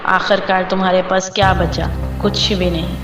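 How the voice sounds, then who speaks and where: A woman's voice: fairly low pitch, little pitch movement, normal speed, natural voice. A middle-aged woman acting a proud, status-minded mother in a melodrama, solemn and declamatory. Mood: bitter self-reproach. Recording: prominent background music.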